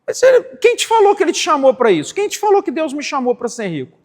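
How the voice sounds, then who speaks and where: A man's voice: very high voice, wildly swooping pitch, quick pace, big-room sound. A man in his forties, a pastor teaching a sermon in a church, emphatic and repeating phrases for stress. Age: 40s. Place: church sanctuary.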